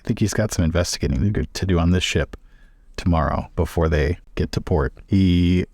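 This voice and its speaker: Casting: male tabletop player-narrator, deep pitch, halting improvised storytelling, deliberate. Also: darkish tone, no echo, clean studio sound.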